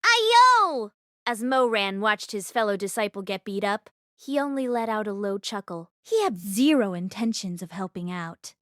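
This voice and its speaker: A woman narrating a story aloud in an expressive read-along style. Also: medium pitch, moderate pace, dry recording, clean studio sound. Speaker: audiobook read-along host.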